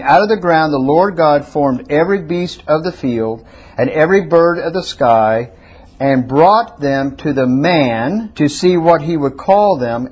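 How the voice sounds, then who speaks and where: A man's voice: a little high, a little mellow, husky. A man preaching a teaching sermon in a church, asking the congregation questions and having them repeat words. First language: not Hebrew. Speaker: pastor.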